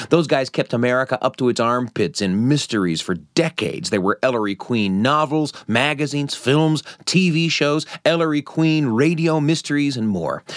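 A man's voice: medium pitch, dry recording, clean studio sound. A man hosting a radio show, narrating like a storyteller with dramatic, emphatic phrasing.

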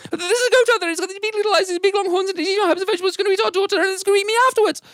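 A flustered, protective storybook mother played by a male storyteller, in a high pitch. She is excitable and fussy, repeating herself as she goes. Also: rapid-fire, dry recording, studio quality. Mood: panicked. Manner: fussy, repetitive.

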